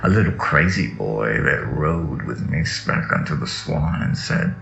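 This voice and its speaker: A man with a gravelly voice gives a slow, dramatic, incantatory reading of mystical scripture. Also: very deep voice, lively intonation, fairly bright tone. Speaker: reader of sacred texts. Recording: echoey, dull audio under music. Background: music.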